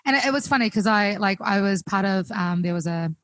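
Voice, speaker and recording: A young woman with a New Zealand accent, chatting casually as a guest musician, full of fillers and quick laughs. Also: medium pitch, animated, fairly bright tone, no room sound. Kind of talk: casual chat on a call. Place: home, recorded remotely.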